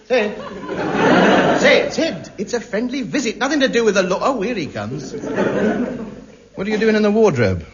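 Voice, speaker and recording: Blustering stingy man, stammering, pompous, high lively voice, hissy old radio broadcast. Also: unhurried, pauses often, muffled recording, a crowd in the background. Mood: flustered. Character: blustering, self-pitying, penny-pinching grown man. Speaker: lead comic character. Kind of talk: 1950s radio sitcom acting.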